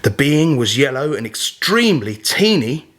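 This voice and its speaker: A man's voice: mid-range voice, big pitch swings, moderate pace, fairly bright tone. A man reading a rhyming children's story as narrator, in a scripted storytelling style.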